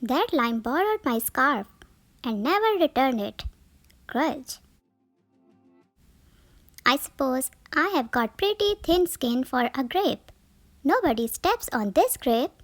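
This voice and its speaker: A woman voicing a touchy, grudge-holding sour grape in a children's storybook, with expressive, theatrical grumbling. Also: high-pitched, takes it slowly, pauses often, no room sound, soft background music, full character voice. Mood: grumpy, indignant. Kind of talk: children's picture book read-aloud.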